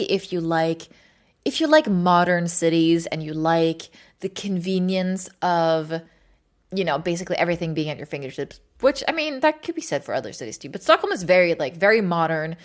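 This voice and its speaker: A woman from Sweden chatting casually and informally to livestream viewers, rambling with plenty of filler words and some swearing. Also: on the low side. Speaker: livestream host.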